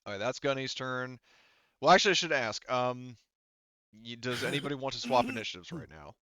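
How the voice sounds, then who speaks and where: A man's voice: medium pitch, expressive, a little fast, bright, forceful tone, dry recording, studio quality. A man talking casually and informally as game master of an online tabletop roleplaying game, thinking through the rules aloud.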